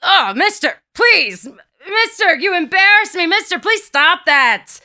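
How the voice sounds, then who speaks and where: A woman voicing a loyal, excitable male servant in an audiobook story, protesting indignantly in shouts. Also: very high voice, a little slow, voice pushed up, full character voice. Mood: scandalized.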